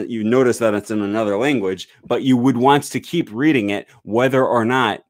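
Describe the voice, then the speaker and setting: A man's voice: lowish voice, no echo, clean studio sound. Rambling young man streaming from home. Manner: loose and rambling, with frequent "um" and "uh". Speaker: language-learning streamer. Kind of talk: casual live-stream talk with screen sharing. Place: small home room with bookshelves. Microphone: large studio microphone on a desk stand.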